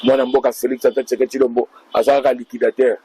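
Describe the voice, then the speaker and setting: A man's voice: medium pitch, level tone, rapid-fire, warm tone, slightly rough. A man answering interview questions as a party official, speaking in a speechlike way to the public.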